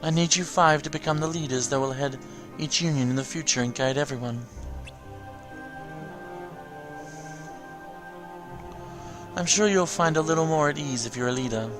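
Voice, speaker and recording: A man's voice: fairly high pitch, fast talker, music in the background, close to an everyday voice. A man voicing a mysterious, grand male mentor from a video game, theatrical and instructing. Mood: solemn.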